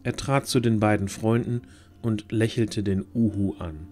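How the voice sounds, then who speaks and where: A man's voice: on the low side, a little slow, a little mellow, faint music behind. A man narrating a scripted fantasy audio drama as storyteller.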